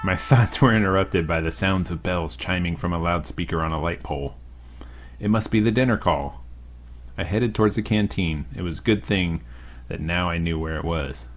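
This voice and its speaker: Young guy with a really deep voice reading a game out loud, cracking dry jokes in between. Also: normal speed, faint music behind. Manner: dry, joking asides between narration. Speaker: let's play host. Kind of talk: reading a visual novel aloud with commentary.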